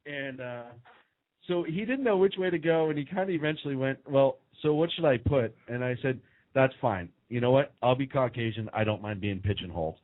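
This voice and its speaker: A man's voice: mid-range voice, brisk. Sarcastic man on a tinny line. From United States. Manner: sarcastic, joking, laughs often. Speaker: co-host. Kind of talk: casual political talk banter. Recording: phone-like narrow sound.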